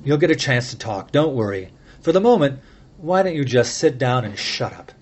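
A gruff, impatient police detective who growls short commands, as a male narrator voices him. Blunt and unfazed, he is a storybook cop keeping order. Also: medium pitch, very animated, moderate pace. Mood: curt. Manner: curt, growling.

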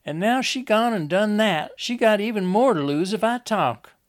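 A man's voice: high-pitched, lively intonation, moderate pace, pitched above the performer's own voice. A man voicing a wounded, frightened young country woman, pleading and insistent in an audiobook story. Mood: urgent, insistent. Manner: folksy, insistent, urgent.

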